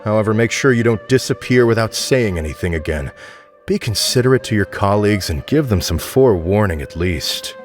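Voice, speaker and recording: A man's voice: lowish voice, expressive, brisk, faint music behind, close to an everyday voice. A man voicing a formal office administrator. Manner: formal, polite, businesslike. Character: formal middle-aged male office administrator, stern but fair. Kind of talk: game voice acting.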